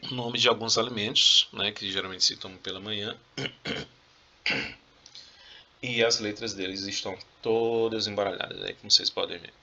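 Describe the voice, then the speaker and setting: A man's voice: lowish voice, fairly even intonation, moderate pace, gravelly, bright, forceful tone, highs cut off. A man with a Brazilian Portuguese accent, a Spanish teacher giving a casual, joking review lesson to his students and coughing now and then.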